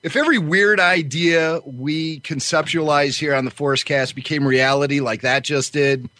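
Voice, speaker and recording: Higher-voiced man chatting, dry sound. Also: fairly even intonation, normal speed, sharp tone, dry recording. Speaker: podcast host. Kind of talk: casual chat.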